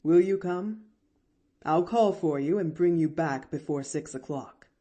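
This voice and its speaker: A woman's voice: low-pitched, a little slow, warm tone. Woman voicing a grave, kindly male doctor. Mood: courteous. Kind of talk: audiobook character voice.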